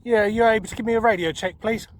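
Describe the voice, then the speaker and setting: A high-voiced man presents a casual radio vlog out in the open. He talks through what he is doing in plain, practical terms, with strong wind noise around his voice. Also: fairly even intonation, fast talker. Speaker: amateur radio vlogger and presenter. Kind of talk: casual hands-on vlog commentary with radio calls. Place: outdoors on a windy high spot. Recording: windy outdoor recording, loud wind noise.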